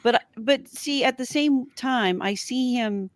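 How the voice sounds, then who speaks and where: A woman co-hosting a casual fan discussion, thinking aloud in a halting way. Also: fairly high pitch, no echo. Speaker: podcast co-host.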